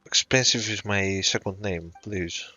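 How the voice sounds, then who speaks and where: A man's voice: sits low, measured pace, bright, forceful tone. A man giving casual game commentary as a streamer, joking and sardonic. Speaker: gaming streamer. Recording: dull, echoey room recording.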